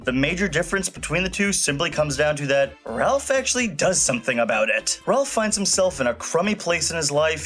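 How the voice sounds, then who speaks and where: Male reviewer, slightly high voice, emphatic and informal scripted commentary, music bed underneath. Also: fairly bright tone. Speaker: video reviewer and host. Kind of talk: opinionated scripted review narration. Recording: music playing behind the voice. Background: background music.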